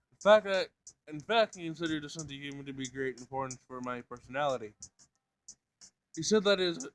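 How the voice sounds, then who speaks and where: Literal-minded schoolgirl android for a visual novel, formal, deadpan delivery, slightly high for a male read man acts it out. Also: lightly acted, rough recording. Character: blunt, literal-minded robot in a high school girl's body.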